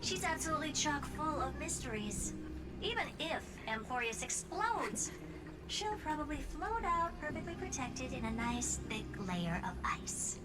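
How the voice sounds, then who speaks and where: An actress voicing a brisk, sardonic, self-assured genius woman scientist in a video game. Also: high voice, sing-song, recorded from a distance, poor audio. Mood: wry, dismissive. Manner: blunt, self-assured, dismissive. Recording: game dialogue over loud music.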